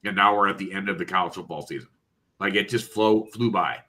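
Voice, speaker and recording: A man's voice: low-pitched, a little fast, bright, forceful tone. A congested man with a slightly nasal voice co-hosting a sports podcast, thoughtful and full of "uh" and "um". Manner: thoughtful, lots of "uh" and "um" while he works out his points. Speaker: podcast co-host. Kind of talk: casual sports podcast chat.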